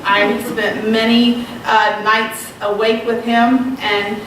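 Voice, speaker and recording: A woman's voice: a little high, level tone, slow, a bit bright. A woman in her thirties from Southwest Virginia with a slight Southern accent, giving a casual, self-deprecating talk at a women's retreat. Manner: conversational, self-deprecating, with frequent ums. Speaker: retreat speaker. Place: large echoey room. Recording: very echoey, distant, noisy room recording.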